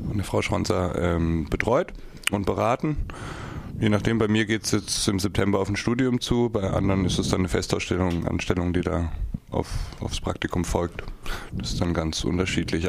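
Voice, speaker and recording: A man's voice: low-pitched, gravelly, noisy background. A nervous man, a guest on a radio interview, talking hesitantly with restarts. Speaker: guest, project participant.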